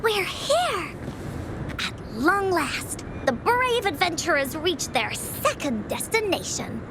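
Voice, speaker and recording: A woman's voice: extremely high pitch, sing-song, takes it slowly, background noise, full character voice. A woman voicing a spirited, brave little girl adventurer in a video game, eager and dramatic in a childlike way. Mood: eager, confident. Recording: music behind the voice.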